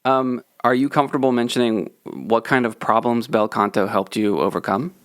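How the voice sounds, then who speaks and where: A man's voice: medium pitch, normal speed, fairly bright tone, no room sound, studio quality. A man interviewing a guest, attentive and a little hesitant in his questions. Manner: attentive, agreeable, hesitant phrasing.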